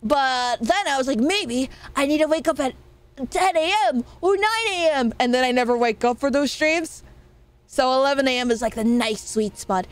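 A woman's voice: sits high, lively intonation. A young woman streamer with a nasal voice, chatting casually and playfully with her viewers. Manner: rambling, playful, quick laughs and swearing. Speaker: VTuber streamer.

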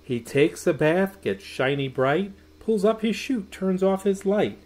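A man's voice: sits high, animated. A middle-aged man reading a rhyming children's picture book aloud in a sing-song way, drawing out words. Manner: sing-song, drawn-out words with soft hushed goodnights. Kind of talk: expressive read-aloud of a rhyming children's bedtime story. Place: small room at home.

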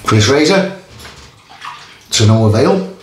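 A man's voice: mid-range voice, expressive. Chatty Geordie man in an echoey bathroom. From Newcastle area, England. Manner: chatty, stop-start, pauses between phrases. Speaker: shaving vlogger. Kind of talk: casual chat while shaving. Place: small tiled bathroom. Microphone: camera in front of face. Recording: echoey bathroom, faint noise.

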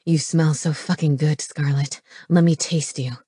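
Woman voicing a gruff, low, domineering male wolf shifter. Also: steady tone, unhurried, warm tone, no room sound, studio quality. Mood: lustful, growling. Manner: growling, commanding, crude. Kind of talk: paranormal romance audiobook character.

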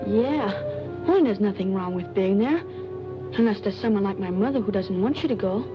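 A woman's voice: mid-range voice, lively intonation, normal speed, natural voice. A performer playing a chatty, eager young boy with boyish slang in a 1940s film. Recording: dull 1940s film soundtrack, music behind.

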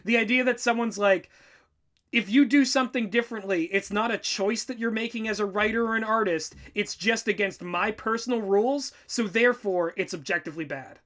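A bright, forceful voice in the middle of the pitch range gives opinionated commentary. The delivery is emphatic and animated, in the loose style of a casual chat between co-hosts. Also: fairly quick. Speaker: writer and YouTuber reacting as co-host.

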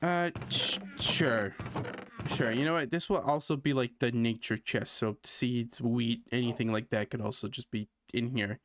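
A man from Canada streams a sandbox game and talks through every step as he goes. His voice sits at an ordinary mid pitch, and he thinks aloud in a relaxed, self-mocking way. A faint hiss runs under the home recording. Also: measured pace. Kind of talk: casual gameplay commentary.

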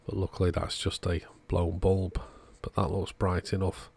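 Guy with a deep husky voice, casually talking thru a repair, pretty understated. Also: flat delivery, normal speed, darkish tone. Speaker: host of electronics repair channel.

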